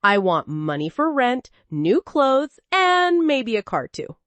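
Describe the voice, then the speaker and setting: Woman acting a high-pitched, demanding flirty mistress. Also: big pitch swings, takes it slowly, fairly bright tone, dry recording, exaggerated voice. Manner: coaxing, demanding.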